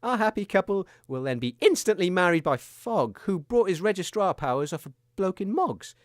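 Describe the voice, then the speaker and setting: A man's voice: sits high, very animated, normal speed, no room sound, studio quality. A man co-hosting a comedy radio show, polite and flustered, stammering hesitantly.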